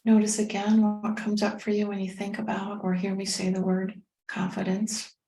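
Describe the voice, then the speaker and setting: A woman leading a guided meditation as a teacher, speaking in a gentle, unhurried, soothing way with pauses. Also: mid-range voice, monotone. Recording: roomy, echoing sound.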